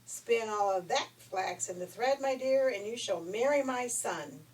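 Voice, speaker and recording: A woman's voice: a little high, expressive, a little slow, far from the mic, pitched above the performer's own voice, low-quality recording. A woman voicing a stern older fairy-tale queen with an imperious, emphatic manner. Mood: commanding.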